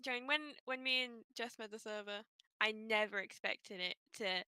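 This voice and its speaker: A woman moderator rambles through heartfelt thanks, hesitating and restarting often. Her voice is bright and a bit high, recorded dry and close. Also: normal speed, clean tone, no echo. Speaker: community moderator, co-founder of the server. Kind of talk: casual thank-you remarks on a voice chat.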